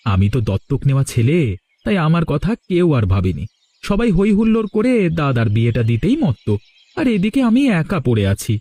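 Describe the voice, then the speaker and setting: A man voicing a sly young brother-in-law in a cartoon story, flattering and eager to please as he schemes. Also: a little high, fairly quick, dry recording. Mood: self-pitying, sly. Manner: ingratiating, wheedling. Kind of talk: animated cartoon story dialogue.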